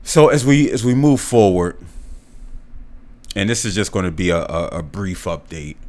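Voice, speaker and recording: A man's voice: moderate pace, a little mellow. Earnest man giving solo podcast commentary. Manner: earnest, repeats and restarts words, rises in pitch when stressing points. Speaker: podcast host.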